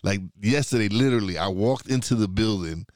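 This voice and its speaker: A man's voice: lowish voice, fairly quick, a little mellow, no room sound, studio quality. A man from New York chatting casually over a remote call, rambling and restarting his sentences. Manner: rambling, many restarts, laid-back. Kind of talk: casual podcast chat.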